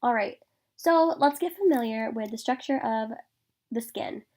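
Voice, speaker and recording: Congested young woman with gravelly edge. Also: fairly high pitch, unhurried, a little mellow. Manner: reading steadily through a list of facts. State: congested from a sinus infection. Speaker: student presenter. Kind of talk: informational class presentation over slides.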